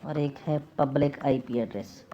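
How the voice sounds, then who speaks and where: A man's voice: mid-range voice, normal speed, mellow. A man giving an informal tutorial as an instructor, repeating key terms and mixing in English technical words. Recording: dull lo-fi mic with faint noise.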